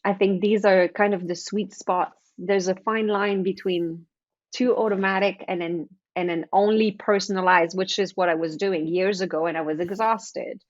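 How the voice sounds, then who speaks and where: A woman's voice: mid-range voice, steady tone, moderate pace, no room sound, dull audio. A woman whose first language is French, chatting as a guest author in a casual interview, often restarting her sentences and acting out her own thoughts. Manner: chatty, with frequent restarts and acted-out inner thoughts.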